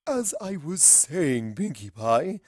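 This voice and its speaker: A woman's voice: sits low, sing-song, slow, a little mellow, husky, no echo, clean studio sound, full character voice. A woman voicing a regal mother pony princess who is drunk, pompous and theatrical. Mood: grandiose, drunk. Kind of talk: acted fan fiction dialogue.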